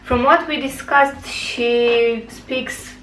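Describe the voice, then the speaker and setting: A woman's voice: a little high, steady tone, extremely slow, a crowd in the background. Young Romanian-accented woman hosting an interview. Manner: friendly, frequent mm-hmms. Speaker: host, YouTuber. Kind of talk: casual interview. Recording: echoey room, faint background noise.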